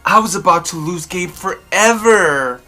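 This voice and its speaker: A woman reading a children's story aloud in an expressive, dramatic and deliberate narrating style. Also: lowish voice, soft background music. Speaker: storybook reader.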